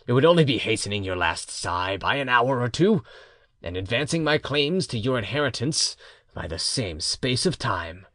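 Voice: medium pitch, very animated, moderate pace, fairly bright tone, no echo, studio quality. An audiobook narrator voicing a cold, mocking male adventurer in a storybook tale.

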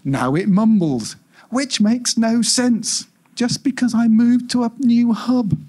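Man voicing an exasperated, husky home-automation AI. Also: very high-pitched, slow pace, warm tone, voice pushed up, exaggerated voice. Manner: indignant, emphatic complaining. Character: exasperated, self-important home-automation AI, indignant and fretful. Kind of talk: live short-story reading.

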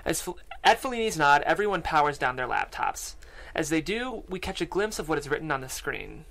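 A table read narrator delivers scripted stage directions from a screenplay. The voice is of medium pitch and is recorded on a studio microphone. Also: very animated, fairly quick, creaky, a bit bright, rough recording. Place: podcast studio. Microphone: studio microphone and headphones.

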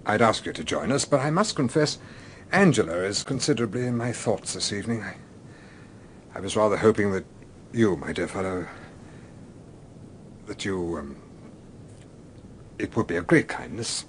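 An actor playing an older, courteous British gentleman scholar in a radio drama, musing and sometimes hesitant. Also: on the low side, lively intonation, normal speed, pauses often, slight background noise, close to an everyday voice. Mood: hesitant, tender. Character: genial, philosophical English gentleman scholar, older, gently evasive.

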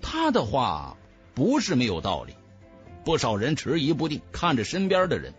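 Highly animated male audiobook narrator. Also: normal speed, soft background music. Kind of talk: dramatic scripted narration of a fantasy novel.

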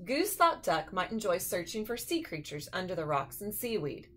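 A woman's voice: lowish voice, a little hiss or hum. A woman in her thirties reading a children's picture book aloud expressively at home into a webcam. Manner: expressive, unhurried narration. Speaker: storyteller. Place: small room at home, indoors.

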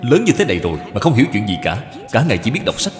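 A man's voice: medium pitch, very animated, rapid-fire, close to an everyday voice. A man voicing a harsh, dismissive stepmother in a cartoon moral tale, curt and scolding. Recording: long reverb, music behind.

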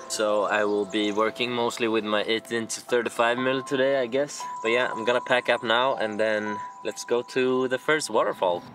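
A young man from Sweden talks to the camera outdoors in a relaxed, reflective way, with loose fillers. His voice sits fairly low. Music plays clearly underneath the talk. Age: 20s. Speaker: vlogger. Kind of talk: casual travel vlog talk to camera. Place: outdoors in nature.

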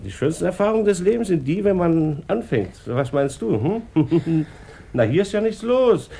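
An actor playing a middle-aged, oily charmer in a 1950s German radio play, with an unctuous, insinuating way of talking. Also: male voice, sits high, big pitch swings, moderate pace, creaky, dark tone, breathy edge, heavily acted. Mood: leering, insinuating. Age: 40s. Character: oily, flattering middle-aged con man and suitor.

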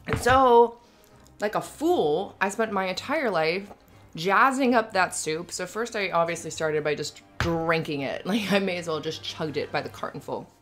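A woman playing an affectionate, giggly young roommate and girlfriend in an intimate roleplay audio, talking in a halting, rambling, conversational way. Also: lowish voice, expressive, a bit bright, faint music behind. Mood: giggly, enthusiastic.

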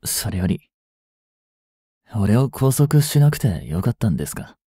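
A man playing a grieving young policeman in an audio drama, speaking in a slow, bitter, intimate murmur. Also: lowish voice, very animated, mellow, husky, no room sound, clean studio sound, full character voice. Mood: bitter resignation. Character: grieving young policeman mourning his criminal girlfriend, tender. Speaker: voice actor. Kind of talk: Japanese audio drama monologue. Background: music.

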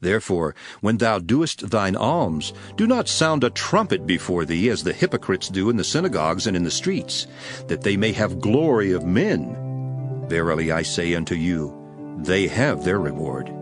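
A solemn preacher with authority in a Bible audio drama. His low voice rises and falls widely as he declaims each measured phrase with emphasis, over background music. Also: big pitch swings. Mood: solemn, emphatic. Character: solemn male preacher delivering scripture with authority. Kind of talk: dramatized King James Bible reading.

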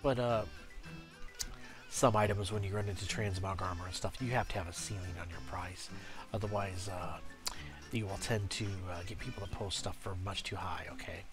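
Brief: low-voiced man, congested from a cold, hesitant tutorial delivery, music underneath. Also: steady tone. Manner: halting, many uhs and restarts. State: stuffy from a cold. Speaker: game guide creator. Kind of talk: casual screen-recorded tutorial.

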